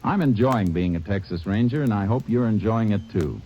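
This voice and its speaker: A man giving a calm, earnest, scripted appeal on old radio. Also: lowish voice, normal speed. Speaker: film star giving a radio message. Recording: dull old radio transcription.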